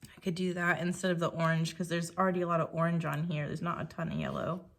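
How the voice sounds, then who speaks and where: Woman with a lowish, slightly croaky voice, chatty, talking herself through a craft project. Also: level tone, fast, a bit bright. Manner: chatty, thinking aloud while working. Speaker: scrapbooking creator. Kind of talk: casual crafting commentary.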